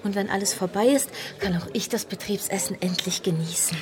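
An actress playing an eager, grateful woman assistant in a radio drama, thanking people in a rush of breathy words. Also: mid-range voice, brisk, very noisy, natural voice.

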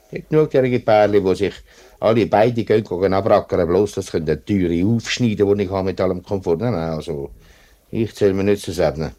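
A man's voice: low-pitched, fairly quick, dark tone, natural voice. An actor playing a principled, plain-spoken workers' spokesman in a 1950s radio drama, earnest and firm, with a Swiss accent. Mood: stubborn, firm. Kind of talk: 1950s German-language radio drama acting.

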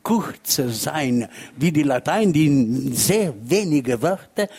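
An older man from Ticino with an Italian accent, speaking halting, imperfect German as a guest writer in a panel talk, searching for words. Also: on the high side, lively intonation, a little mellow, breathy edge. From Ticino, Switzerland. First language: Ticinese Lombard dialect.

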